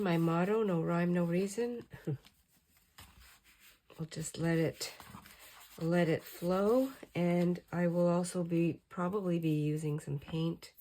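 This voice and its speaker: Hoarse woman chatting while crafting. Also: lowish voice, a little hiss or hum. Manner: relaxed, rambling talk while crafting. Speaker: crafting channel host. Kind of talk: casual crafting chat. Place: home craft table at night.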